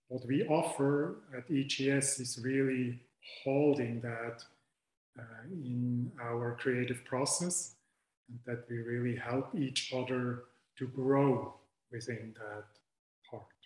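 A middle-aged man from Switzerland, a faculty member, giving an earnest and reflective webcam talk that trails off softly at the ends of phrases. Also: medium pitch, fairly even intonation, slow pace. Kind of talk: recorded video invitation. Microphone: video call webcam. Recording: very echoey room.

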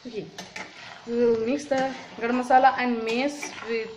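A woman whose first language is Bengali, calmly walking through a home cooking tutorial with frequent "okay, so" fillers, at her kitchen stove. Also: on the high side, moderate pace. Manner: calm, instructive, many "okay, so" fillers. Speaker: cooking video host. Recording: home recording with clear kitchen noise. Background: clinking pots, pans and cutlery.

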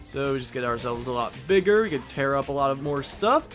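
Male Let's Play host: playful, casual commentary, medium pitch over faint music. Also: lively intonation, fairly quick, fairly bright tone. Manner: joking, playful.

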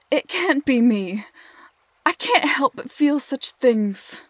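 A woman playing a tender, anguished young woman in a fantasy audio roleplay, speaking in a hushed, hesitant, halting way. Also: a little high, dry recording.

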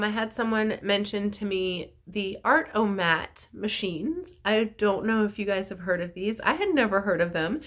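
A woman's voice: medium pitch, fairly bright tone. Chatty woman sounding congested and nasal. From North Carolina, USA. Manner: chatty, rambling with many ums and likes. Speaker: artist vlogger. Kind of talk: casual chat to camera. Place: small room at home, indoors. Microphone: phone camera at arm's length.

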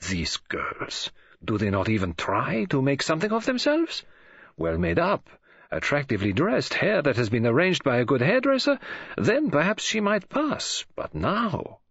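A man's voice: medium pitch, sing-song, a little slow, husky, dry recording, lo-fi sound, full character voice. A man voicing a fussy, courteous older detective in an audiobook, formal and precise. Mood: disdainful. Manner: formal, precise, politely commanding. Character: fussy, courteous older Belgian male detective, easily disgusted.

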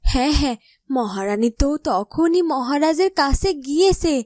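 A woman's voice: high voice, lively intonation, moderate pace, a little mellow, no echo, studio quality. A woman voicing a loyal, caring royal attendant in a fairytale cartoon, earnest and emphatic as she explains. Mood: pleased, earnest. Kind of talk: Bengali fairytale cartoon dialogue.

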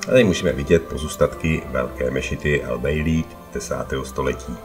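Very deep male travelogue narrator over music.

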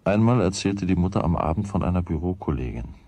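A man with a husky voice reading scripted storybook narration in a radio play. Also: deep voice, lively intonation, quick pace, mellow, no room sound.

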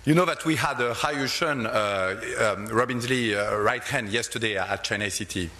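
A man's voice: medium pitch, normal speed, pushed and bright. A man with a French accent, hosting a live interview at a conference, speaking in an effusive, stammering way with many repeated words. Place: conference hall.

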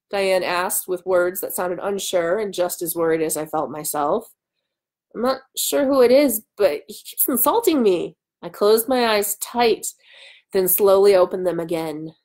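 A woman in her thirties reads a first-person story aloud. She acts out the dialogue as she goes, at a middle pitch. It is recorded on a webcam mic in a small room at home. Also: darkish tone. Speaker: author and host. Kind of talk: animated live reading of a first-person story. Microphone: webcam mic at arm's length.

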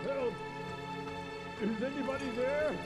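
An actor playing an arrogant, cowardly, scheming company president in a video game, theatrical and manipulative in manner. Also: male voice, extremely high pitch, measured pace, over loud music. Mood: desperate pleading. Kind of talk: dramatic video game cutscene acting.

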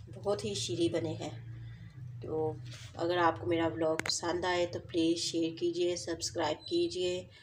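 A woman's voice: on the low side, rough recording. A woman vlogger talking viewers through a recipe step by step in her home kitchen, filming the pot on her phone. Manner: homely step-by-step narration while cooking. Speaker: cooking vlogger. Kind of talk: home cooking demonstration. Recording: loud kitchen noise. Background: frying, sizzling and stirring sounds.

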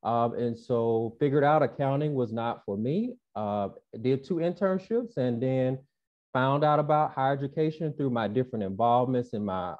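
A young man with a Southern drawl talks earnestly over a video call, his speech dotted with "uh" and "um." He speaks at a medium pitch through earbuds, and the sound is slightly muffled. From Mississippi, US South. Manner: earnest, frequent "uh" and "um" fillers. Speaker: featured panel speaker. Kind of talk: video call panel talk. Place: small room indoors.

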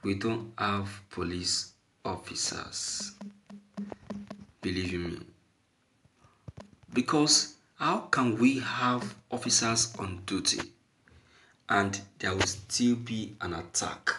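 A man giving opinionated news commentary as an online commentator, halting and deliberate, repeating phrases emphatically for stress. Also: on the low side, sharp tone, slight background noise.

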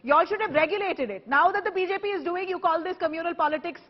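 A woman's voice: very high voice, fast, dull audio. A woman news anchor in her thirties with an Indian accent, putting assertive, confrontational questions in a TV debate. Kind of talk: debate moderation, challenging questions. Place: TV news studio.

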